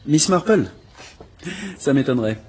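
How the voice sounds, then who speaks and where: A courteous young police inspector, played by an actor with an even, mid-range male voice. He speaks warmly and respectfully, over a faint hiss. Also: medium pitch, unhurried, close to an everyday voice. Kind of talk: dubbed drama acting.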